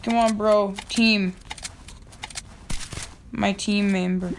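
A young woman with a slightly raspy voice, giving casual commentary over her own gameplay and yelling at other players. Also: medium pitch, slight background noise. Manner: excitable, yells at other players, quick laughs. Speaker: gaming channel host.

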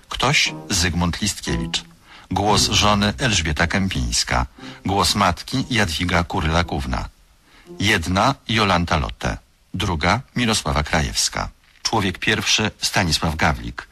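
A male radio announcer with a deep, bright voice reads in a formal, measured way. His intonation moves in lively steps, with faint music present. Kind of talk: formal scripted credit reading.

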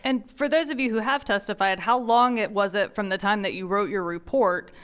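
A woman's voice: medium pitch, a little fast, fairly bright tone, smooth. A woman co-presenting a training session, explaining points with friendly asides, in a large conference room. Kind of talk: classroom training.

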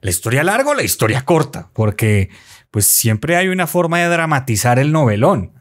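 A man in his forties from Colombia with a Bogotá accent, a podcast guest telling his story in animated storytelling chat with playful asides, recorded on a desk mic in a small studio. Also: medium pitch, moderate pace. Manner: animated, gestures while talking, playful asides. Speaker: guest, motorcycle traveler. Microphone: desk mic close by.

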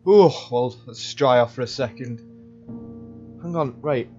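A man's voice: mid-range voice, measured pace, darkish tone, lo-fi sound, soft background music. A young man chatting casually as he improvises through a game roleplay. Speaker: gamer in roleplay series.

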